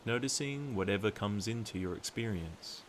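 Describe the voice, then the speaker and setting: Low-voiced man guiding a meditation. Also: slight background noise. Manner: calm, soothing, deliberate, with long pauses. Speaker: meditation guide. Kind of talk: guided meditation narration.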